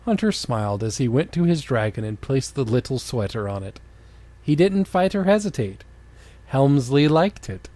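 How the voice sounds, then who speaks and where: A man's voice: mid-range voice, big pitch swings, moderate pace, mellow, dry recording. A man co-hosting a comedy podcast, reading a story aloud and breaking off for jokey, casual comments. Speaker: podcast co-host reading fan fiction aloud. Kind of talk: comic dramatic reading with casual banter.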